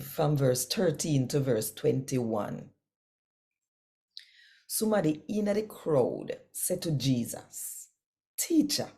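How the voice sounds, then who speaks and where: A woman with a Jamaican accent who hosts and reads scripture expressively, addressing listeners directly and deliberately. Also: sits low, mellow. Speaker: channel host and scripture reader. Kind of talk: expressive scripture reading and channel presenting.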